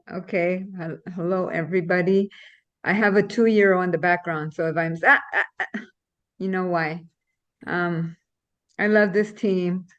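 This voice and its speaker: A woman's voice: on the low side, steady tone, a little slow, pauses often. A woman from Ecuador, a team member speaking casually and a little hesitantly on a video call. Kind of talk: casual introduction. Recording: dull lo-fi video call audio.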